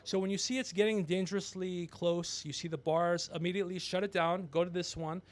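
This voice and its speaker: A man hosting a livestream, rambling comedically with blunt, profane, teasing banter and a creaky voice. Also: high voice, fairly even intonation, a little fast. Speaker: podcast livestream host.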